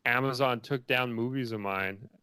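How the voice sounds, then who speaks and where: A man's voice: medium pitch, normal speed, pushed and bright, clear, resonant tone. A man answering interview questions as a filmmaker in a rambling, informal way full of filler phrases. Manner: rambling and informal, many likes and you knows.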